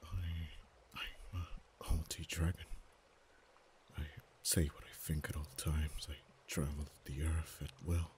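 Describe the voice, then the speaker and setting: A man voicing a proud, haughty male dragon in an ASMR romance roleplay, speaking softly with whispered and stammering stretches. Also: a bass voice, flat delivery, a little hiss or hum. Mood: tender. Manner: soft-spoken, partly whispered, halting stammers.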